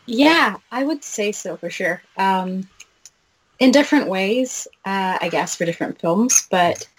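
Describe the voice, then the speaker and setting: A young woman from Norway, a film director, answering interview questions in a relaxed, hesitant way with many "uh"s and "like"s. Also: medium pitch, a little slow, breathy edge, poor audio.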